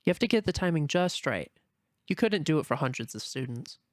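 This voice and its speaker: A man voicing a shy, earnest young female rabbit in an amateur dramatic reading, speaking softly and from the heart. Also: fairly high pitch, big pitch swings, fairly quick, no room sound, studio quality. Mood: warm. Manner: soft, heartfelt, anxious inner murmuring. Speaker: voice actor in a fan reading.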